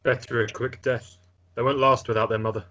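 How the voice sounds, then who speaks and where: A man's voice: medium pitch, flat delivery, a little fast, bright, forceful tone, lo-fi sound, lightly acted. A man playing a stern, grave lord and father in an amateur script table read.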